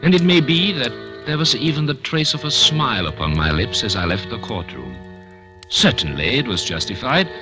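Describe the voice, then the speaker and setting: An actor playing a smug, cold male murderer who narrates his own trial with calm superiority, in a 1940s radio drama. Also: mid-range voice, close to an everyday voice. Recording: old radio recording with music.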